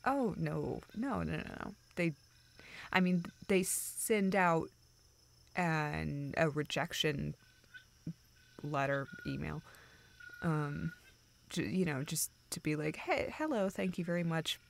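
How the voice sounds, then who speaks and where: A woman playing a young woman in an intimate audio drama, with a lowish voice full of vocal fry. She talks slowly and haltingly to a close friend, joking at her own expense in a dry, deadpan way. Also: full character voice. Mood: deflated, awkward. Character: self-deprecating, dryly sarcastic young woman confiding in a close friend. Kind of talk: intimate audio roleplay, naturalistic acting.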